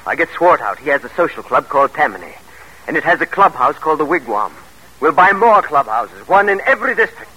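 A man's voice: a little high, big pitch swings, a little fast, pushed and bright, breathy edge, heavily acted, rough recording. An actor playing an ambitious, commanding middle-aged politician father in an old-time radio drama, with emphatic theatrical delivery. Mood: excited, scheming. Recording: old radio broadcast recording.

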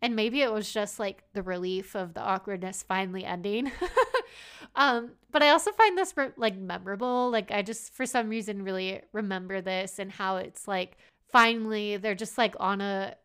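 A woman's voice: medium pitch, moderate pace, a bit bright, smooth. A woman co-hosting a podcast, chatting casually and acting out quoted lines with lots of "like" fillers. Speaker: podcast co-host. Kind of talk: casual chat.

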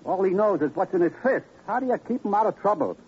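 An actor playing a folksy boxing manager in an old radio crime drama, rambling and repeating himself in streetwise talk. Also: male voice, high-pitched, animated, fast, slightly rough, full character voice. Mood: regretful, rambling. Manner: repetitive, streetwise, chatty. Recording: muffled vintage radio recording.

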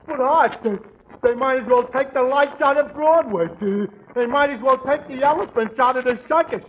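A man playing a dim, sentimental tavern regular with goofy, halting speech in a 1940s radio comedy. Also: very high-pitched. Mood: dismayed. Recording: dull, faintly noisy old radio recording.

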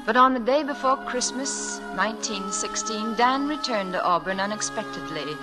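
An actress playing a tender, devoted young schoolteacher in an old-time radio drama, speaking with emotional exclamations and endearments. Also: female voice, mid-range voice, animated, normal speed, natural voice, poor audio. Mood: wistful narrating. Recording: vintage radio drama with music.

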